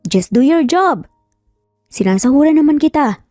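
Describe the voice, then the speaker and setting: A woman's voice: sits high, animated, normal speed, mellow, no echo, pitched above the performer's own voice. A woman narrator voices a stern man, an icy boss and father in an audio story. The delivery is curt and cold, dry, with music behind. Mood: curt, irritated.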